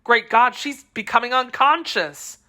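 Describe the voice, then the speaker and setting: Storybook: passionate young swordsman shouting for help, a woman reading. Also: fairly high pitch, measured pace, voice pushed up. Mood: alarmed.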